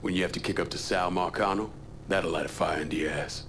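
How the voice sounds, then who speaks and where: An actor playing a tough, vengeful American war veteran turned crime boss in a video game, speaking tersely and bluntly. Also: male voice, sits low, steady tone, fast, fairly bright tone, a little hiss or hum. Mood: determined. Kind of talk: video game cutscene acting.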